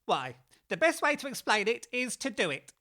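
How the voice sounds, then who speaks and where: A solemn, self-important dodo declaims in a forceful, bright voice. The pitch is high and swings widely, in a storybook audiobook style. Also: female voice, very animated, moderate pace. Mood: pompous, solemn. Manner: pompous, declamatory. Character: solemn, pompous dodo bird leading a race. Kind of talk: full-cast audiobook character acting.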